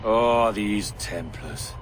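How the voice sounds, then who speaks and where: An actor playing an enigmatic, learned sage in a video game cutscene, wryly superior and musing. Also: male voice, lowish voice, background noise. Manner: slow, musing, deliberate.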